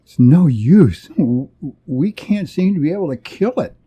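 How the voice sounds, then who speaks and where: A man's voice: a little high, expressive, a little slow, mellow, husky. A man voicing an anxious, hesitant spaceship crewman who stammers in an adventure story. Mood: stunned, grim.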